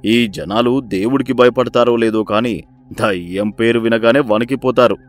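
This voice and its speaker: A man voicing a greedy, scheming village-head father who commands his son in a sly, domineering way, for an animated story. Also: medium pitch, normal speed, soft background music, natural voice.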